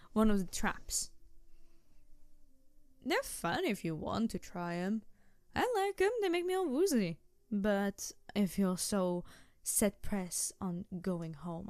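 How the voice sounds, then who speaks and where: A woman voicing a playful, mischievous tanuki spirit girl in a roleplay audio drama, teasing the listener in an excitable, rambling way. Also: medium pitch, dry recording. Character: playful, mischievous young-sounding tanuki trickster spirit girl, over two hundred.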